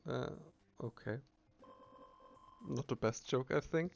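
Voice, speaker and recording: Slow-paced man reading game text. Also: mid-range voice, gravelly, highs cut off. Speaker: let's player reading game text aloud. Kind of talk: narrating game text with casual asides.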